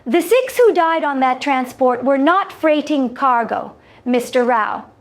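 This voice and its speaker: A woman playing a calm, exacting alien trader in a science fiction story, speaking formally with careful, deliberate phrasing. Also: sits high. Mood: grave, measured.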